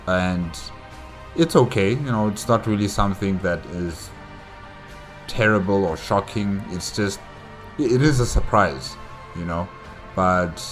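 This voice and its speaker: A man hosting a reaction video, talking through a casual recap and thinking aloud in a halting way. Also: sits low.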